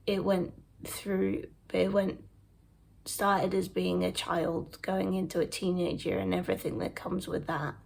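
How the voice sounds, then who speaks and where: A young man in his twenties with a stuffy head cold talks slowly and evenly, with a gravelly vocal fry. He speaks hesitantly, pausing and restarting as he goes. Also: high voice, poor audio. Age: 20s. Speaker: vlogger. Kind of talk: personal vlog recounting an experience.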